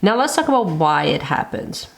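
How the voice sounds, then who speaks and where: A young woman talks casually to her viewers in a low voice. She is getting over a cold, so she sounds raspy, and she leans on fillers as she chats. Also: big pitch swings, moderate pace. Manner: chatty, lots of fillers like kind of and like. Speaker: planner channel host. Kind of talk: casual chat to camera.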